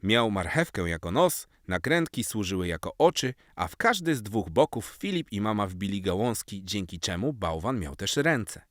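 A man narrating a scripted children's story in an expressive storytelling style. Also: lowish voice, normal speed, few pauses, no room sound, studio quality.

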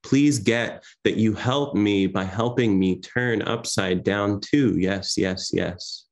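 Poet in his 30s, deep low voice, reading over a laptop webcam at home. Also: slow. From Iran. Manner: soft-spoken, hesitant with many fillers between poems. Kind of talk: poetry reading. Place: home room with framed pictures on the wall. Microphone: laptop webcam video call.

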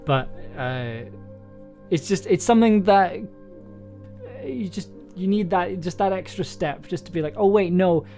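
A man's voice: on the high side, darkish tone. A young man chatting casually on a livestream, rambling and hesitant, often breaking off and restarting his own sentences. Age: young adult. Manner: rambling, hesitant, self-interrupting. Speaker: audio drama maker and livestream host. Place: small indoor home room.

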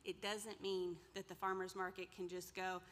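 Woman, 30s, extension presenter; chatty lecture, crisp bright tone, reverberant hall. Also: fairly low pitch, little pitch movement, moderate pace. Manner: conversational, asks the audience questions. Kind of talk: workshop lecture with slides. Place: large lecture room indoors. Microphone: podium mic, far camera.

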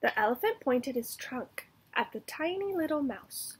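A woman's voice: high-pitched, expressive, measured pace, noisy background. A young woman teacher reading a storybook and giving a lesson to children. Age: young adult. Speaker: elementary school teacher.

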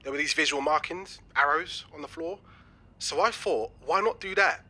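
A man's voice: a little high, moderate pace, bright, forceful tone. An actor playing an enthusiastic young British man full of schemes, chatty and eager, in a naturalistic audio drama. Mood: eager, upbeat. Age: young adult. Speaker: actor in a fiction podcast.

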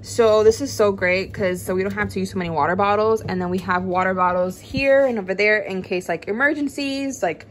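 A woman's voice: medium pitch, brisk, few pauses. A young adult woman chatting casually and enthusiastically to viewers as a vlogger in a home kitchen. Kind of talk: casual grocery haul vlog chat. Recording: clear background noise and room ambience.

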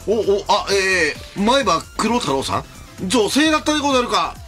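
Man putting on an excitable nerdy superfan, swooping pitch. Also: normal speed, faint music behind. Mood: flustered, adoring. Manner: theatrical, flustered stammering. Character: excitable nerdy male anime idol superfan, archaic samurai-style speech. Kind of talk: comic radio skit.

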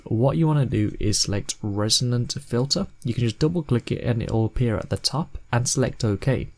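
A man's voice: mid-range voice, a little mellow. Young man giving step-by-step instructions. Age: 20s. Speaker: host of video editing tutorials. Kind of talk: scripted software tutorial narration.

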